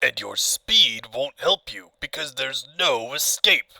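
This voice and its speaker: A man's voice: mid-range voice, very animated, slow, gravelly, sharp tone, no echo, exaggerated voice, low-quality recording. A man playing a gloating, menacing mad scientist tyrant in a cartoon comic dub. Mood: gloating menace. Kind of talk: comic fandub villain acting.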